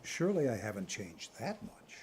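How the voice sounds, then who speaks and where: A genteel trickster adept, acted by a man, breezy and evasive. His speech is lively and varied in pitch, with faint noise behind it. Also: moderate pace.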